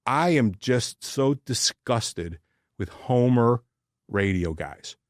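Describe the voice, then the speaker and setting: A man's voice: mid-range voice, expressive, slow, no echo, clean studio sound. A man from Detroit with an American accent, co-hosting a casual sports talk show in a blunt, profane, opinionated ranting style. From Detroit, United States. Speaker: sports podcast co-host.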